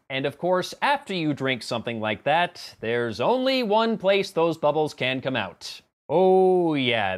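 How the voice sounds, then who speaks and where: A man in his thirties giving a casual, wry film review to camera in a room at home, stressing and drawing out words. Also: fairly high pitch. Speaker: film reviewer, vlog host. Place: small home room with computer desk, indoors.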